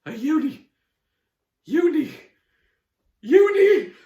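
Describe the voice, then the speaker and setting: A man voicing an eager, excitable young girl hero in a children's storybook. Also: fairly high pitch, normal speed, roomy sound, lo-fi sound, voice pushed up. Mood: stunned, stammering.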